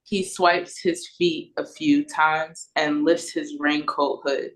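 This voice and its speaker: A woman's voice: sits low, level tone, takes it slowly, rough recording. A woman with an American accent reading stage directions as narrator at an audio play read-through. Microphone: video call.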